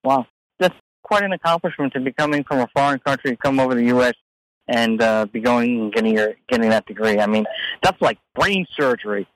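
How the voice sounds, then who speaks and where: A man's voice: mid-range voice, lively intonation, fairly quick, no echo, poor audio. A middle-aged man hosting a business radio show, joking and teasing his co-host in playful banter. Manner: teasing, self-mocking.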